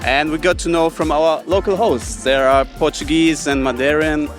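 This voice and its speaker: A man's voice: fairly high pitch, steady tone, moderate pace, faint music behind. A man in his thirties from Germany, a startup retreat participant, speaking matter-of-factly in an interview. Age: 30s.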